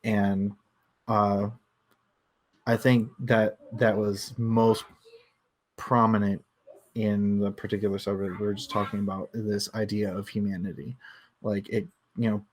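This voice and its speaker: A man hosting a casual book discussion, thinking aloud in a halting way and circling back over his phrases. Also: fairly low pitch, little pitch movement, poor audio. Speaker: book club discussion host.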